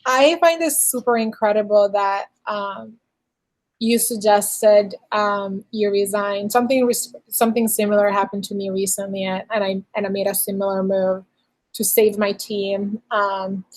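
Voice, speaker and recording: A young woman with a foreign accent hosting a casual interview, informal and halting as she works her way into questions. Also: mid-range voice, steady tone. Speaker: interviewer, show host.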